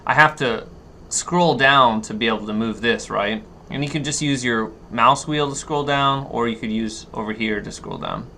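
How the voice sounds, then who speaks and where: Male tutorial instructor, forceful tone with gravelly edges, halting step-by-step delivery, unscripted. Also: medium pitch. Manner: thinks aloud, halting, step-by-step. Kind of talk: unscripted screen-share software walkthrough. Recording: noticeable background noise.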